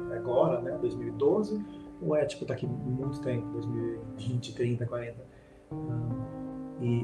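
A middle-aged man from Sweden, a futurist guest, answering thoughtfully with many pauses and restarts. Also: mid-range voice. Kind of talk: conversational interview answer. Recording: roomy sound with music underneath.

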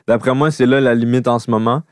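Un jeune homme québécois, voix claire et sèche, hauteur moyenne, bavarde sans se presser, argot et anglicismes à l'appui. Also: male voice, medium pitch, fast, clean tone, no room sound, clean studio sound. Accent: Québécois French. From Quebec. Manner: relaxed, slangy, mixes in English words. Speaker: YouTuber, podcast co-host. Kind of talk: casual chat.

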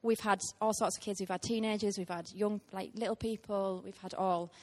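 A woman in her thirties gives a guest sermon in a church. Her voice sits at an ordinary middle pitch, and her delivery is sincere and heartfelt, with plenty of small ums between thoughts. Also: fairly even intonation, brisk, darkish tone. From Teesside, England. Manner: earnest, heartfelt, with frequent ums. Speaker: guest preacher.

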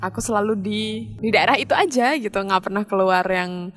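A young woman from Yogyakarta co-hosts a podcast in easy, friendly chat. Her Indonesian carries a light Javanese lilt. She speaks at a middle pitch, teases her co-host, and laughs often. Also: fairly quick, a bit bright. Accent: Javanese-influenced Indonesian, light. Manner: playful, teasing, laughs often.

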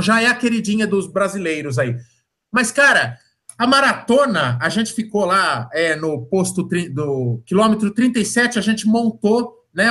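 A man's voice: sits high, pushed and bright. A man hosting a running podcast in casual chat, joking and profane, rambling and repeating himself.

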